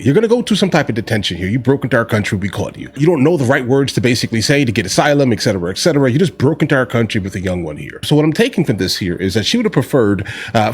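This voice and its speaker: Fast, husky American man commentating. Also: medium pitch. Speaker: reaction video commentator. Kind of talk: casual political commentary.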